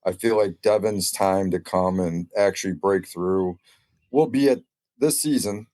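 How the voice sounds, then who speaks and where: Deep-voiced man chatting sports. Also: a little slow, a little mellow, dry recording. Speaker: podcast guest, former competitive cornhole player. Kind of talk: casual sports podcast chat.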